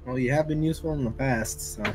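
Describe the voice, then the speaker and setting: A man's voice: mid-range voice, fast, darkish tone, a little hiss or hum, close to an everyday voice. A man playing a protective, plain-spoken young father in a tabletop roleplaying game. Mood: easygoing.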